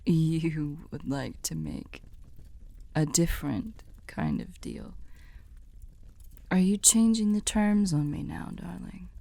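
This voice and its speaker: A woman voicing a courtly, tender female demon weary of evil, speaking softly and formally, half whispering, in an ASMR roleplay. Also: low-pitched, animated, no echo, full character voice. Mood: earnest, intrigued. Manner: slow, soft, breathy half-whispered formal speech.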